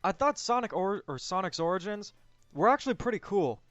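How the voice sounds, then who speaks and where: A man with a clear, fairly high voice talks casually as a film discussion co-host. His tone is smooth. The recording is close and dry, with a slightly dulled top end. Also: big pitch swings, brisk, a bit bright, dry recording, highs cut off, soft background music.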